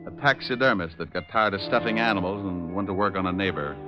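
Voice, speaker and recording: A tough, breezy private eye, played by a male actor in a 1940s radio drama. His voice sits fairly low and has a wry, wisecracking edge. It comes through a dull vintage recording with music. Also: quick pace, close to an everyday voice. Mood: wry storytelling. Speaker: lead actor and first-person narrator.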